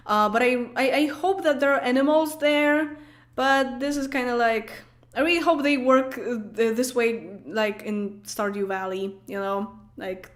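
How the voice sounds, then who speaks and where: A woman in her late twenties with a Polish accent, chatting casually on a livestream and answering comments, with lots of fillers, restarts and laughs. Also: mid-range voice. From Poland. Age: late 20s. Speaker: streamer.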